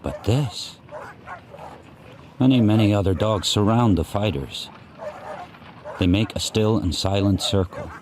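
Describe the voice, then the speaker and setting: Man acting a slow, deep-voiced brooding sled dog. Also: darkish tone, close to an everyday voice. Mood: grim, intense. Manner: spare, deliberate short sentences. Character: sled dog narrating his harsh life, grim and watchful. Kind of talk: first-person dramatic narration in a radio play. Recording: faint background noise.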